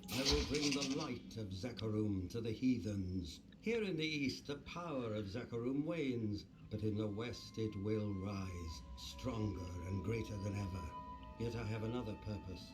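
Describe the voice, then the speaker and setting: A man's voice: lowish voice, normal speed, lightly acted. An actor playing a zealous male priest in a video game who speaks with fervent proclamation. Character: zealous male priest preaching his faith. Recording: distant voice over game music.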